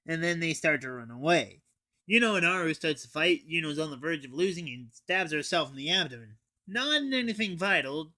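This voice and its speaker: A man's voice: on the high side, sharp tone. A teenage male anime reviewer giving an informal comic recap in a dry, slangy way. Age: teens.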